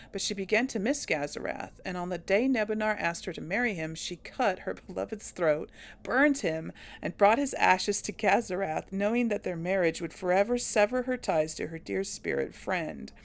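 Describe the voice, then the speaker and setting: Woman let's play host with a gravelly edge, chatty, talks to viewers while she plays. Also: medium pitch. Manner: reads game text aloud in an even voice. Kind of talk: casual gameplay commentary.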